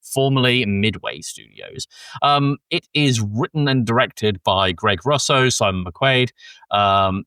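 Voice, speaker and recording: A man's voice: fairly low pitch, big pitch swings, slow, sharp tone, clean tone, no room sound. A man hosting a podcast with casual film discussion while a bit sick. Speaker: podcast host.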